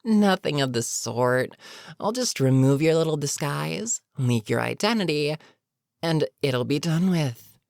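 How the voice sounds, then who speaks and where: A woman playing an older, weary supervillain in an audio roleplay, menacing yet protectively concerned, with a measured, sardonic, sighing delivery. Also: low voice, expressive, gravelly, darkish tone, dry recording, studio quality, full character voice. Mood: smug, menacing. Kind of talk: dramatic audio roleplay monologue.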